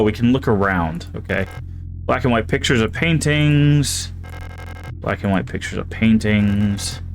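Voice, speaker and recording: A man streaming a horror game, thinking aloud and reading in-game items and notes as he plays. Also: fairly low pitch. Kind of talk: casual gameplay commentary.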